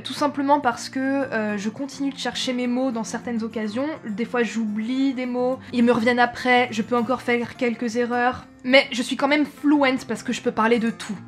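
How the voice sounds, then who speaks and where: A young woman from France chatting casually to camera as a travel vlogger, with frequent hesitations and self-corrections. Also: on the high side.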